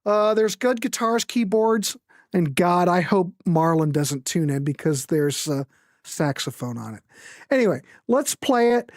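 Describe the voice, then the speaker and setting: A woman's voice: on the low side, lively intonation, measured pace, darkish tone, no echo, studio quality. A woman hosting a music show in casual chat, introducing and commenting on records in an informal way, as if thinking aloud. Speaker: host of a music livestream.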